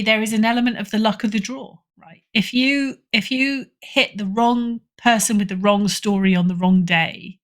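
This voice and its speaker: A woman giving casual interview answers as a podcast guest and editor, thoughtful, pausing for emphasis. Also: a little high, fairly bright tone.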